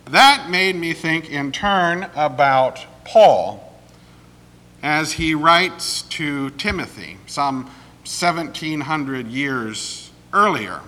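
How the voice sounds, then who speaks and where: A man preaches slowly and deliberately, his voice a little high and bright, with a slight croak left over from a cold. Long reverb from a church sanctuary trails behind every phrase. He clears his throat every so often between his points. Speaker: pastor. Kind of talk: sermon.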